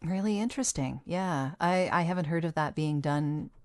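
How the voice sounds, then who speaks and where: A woman's voice: low-pitched, moderate pace, darkish tone, dry recording. A woman hosting and interviewing on an audio podcast. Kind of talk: scripted podcast intro and casual interview.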